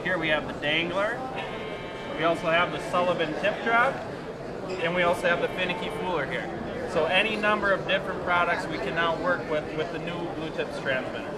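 Young guy explaining a gadget at a booth, higher voice, noisy crowd. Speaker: product demonstrator. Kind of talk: product demo. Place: busy expo hall booth. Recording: loud crowd noise behind.

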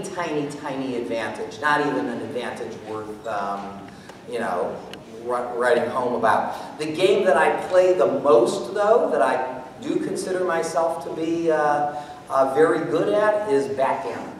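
A middle-aged American man giving a live talk on stage and taking audience questions, animated and jokey as he thinks aloud. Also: a little high. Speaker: guest speaker, mathematician performer. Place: theatre stage, indoors. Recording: echoey hall from far off, noisy.

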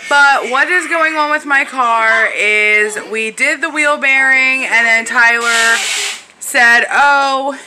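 A woman's voice: high voice, slow pace, bright, forceful tone, slight background noise, rough recording. A woman in her twenties giving a rambling, casual vlog update from her home kitchen, filming herself on a phone held close. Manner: chatty, rambling, lots of fillers. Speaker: family vlogger. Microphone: phone camera held close.

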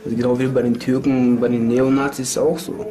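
A man's voice: mid-range voice, level tone, fairly quick, a crowd in the background. A young man in prison talking casually in an interview, often adding little tag questions. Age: young adult. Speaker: inmate interviewee. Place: dark prison cell.

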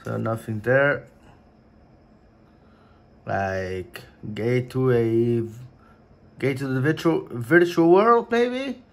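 A young man whose first language is Portuguese, chatting casually at home in his gaming room, with lots of filler words and sudden excited bursts. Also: medium pitch, very slow, creaky, long pauses. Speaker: card opening vlogger. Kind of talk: casual unboxing chat. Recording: faint crinkling and desk noise.

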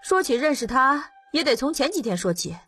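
A woman's voice: high voice, sing-song, a bit bright, breathy edge, long reverb tail, faint music behind, full character voice. A woman voicing a bold, forthright bandit leader in an audiobook. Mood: matter-of-fact. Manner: forthright, unhurried. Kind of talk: audiobook character dialogue.

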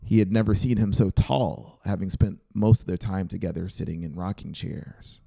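A dark, creaky-voiced man in his thirties reads a story aloud. His voice sits low, and he is close to the mic in a small studio, narrating an audiobook. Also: moderate pace. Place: small brick-walled home studio. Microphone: close dynamic mic on a boom arm.

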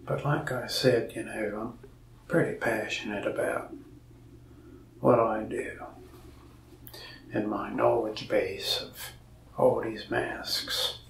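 Young male salesman for ASMR roleplay: heavy vocal fry, soft whispery folksy delivery, slow and unhurried, recorded from a little way off. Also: fairly low pitch, steady tone, echoey room, far from the mic, slight background noise. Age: young adult. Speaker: mask shop salesman in roleplay. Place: indoor room before a wall of masks.

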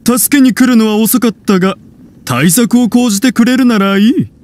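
A man's voice: extremely high pitch, moderate pace, a little mellow. A man voicing a vain, hot-headed and scornful showman in an anime video game, pompous and theatrical in delivery. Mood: scornful, defiant.

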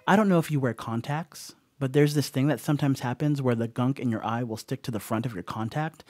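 Sheepish young male stoner house-sitter played by a man, horror radio play. Mid-pitched, rambling, apologetic, closely and dryly recorded. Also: a little fast, darkish tone, no room sound, clean studio sound, soft background music, close to an everyday voice. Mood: chatty, digressive. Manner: rambling, apologetic, casual asides. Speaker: voice actor. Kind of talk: audio horror monologue, conversational confessional.

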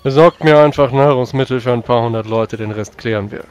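Guy doing a bossy blunt commander in fantasy rpg, talks quick n curt, bit of music behind. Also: mid-range voice, darkish tone, clean tone, muffled recording, natural voice. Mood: firm. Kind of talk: in-character tabletop roleplay. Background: background music.

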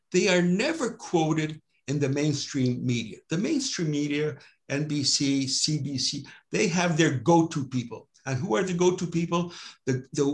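Older man panelist on a headset, earnest and emphatic, halting now and then. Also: fairly high pitch. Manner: earnest, emphatic, frequent hesitations. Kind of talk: online panel discussion. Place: home office with bookshelves.

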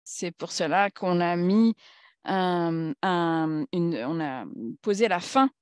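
A woman speaking at a conference in a formal political talk, halting and searching for words as she goes. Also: on the low side, a little mellow, clear, resonant tone, no room sound, studio quality.

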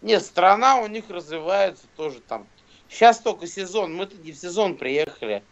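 A man in his thirties talks loosely over a phone camera, voice sitting a little high. He rambles, swears and fills gaps with filler sounds. The audio is muffled and lo-fi. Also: expressive, moderate pace. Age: 30s. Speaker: rapper and guest. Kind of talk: casual video call chat. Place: indoors, small room. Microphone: phone camera close to face. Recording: dull lo-fi video call audio.

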